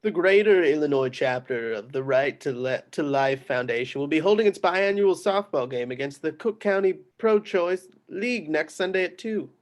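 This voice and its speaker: A man's voice: a little high, lively intonation, normal speed, hardly any pauses, natural voice. A man playing a parish priest in a script table read, formally rattling off announcements to the congregation. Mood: formal, droning.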